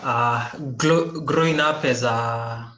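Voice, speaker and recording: A man from Kenya speaking as a panelist and social entrepreneur, halting, with many restarts and formal wording. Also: mid-range voice, pushed and bright, roomy sound. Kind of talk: conference panel talk.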